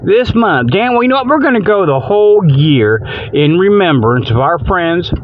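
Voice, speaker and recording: Male radio host, high voice, folksy and rambling comic delivery, dry but dull lo-fi recording. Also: sing-song, normal speed, a little hiss or hum. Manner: folksy colloquial grammar; repeats "okay" and "you know" as tags. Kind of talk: rambling comedic radio monologue.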